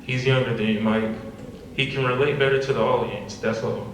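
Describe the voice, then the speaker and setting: Man playing a blunt, deadpan bartender, very echoey. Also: medium pitch, a little fast, lightly acted. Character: blunt, dry male bartender friend. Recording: very echoey room, far mic, clear noise.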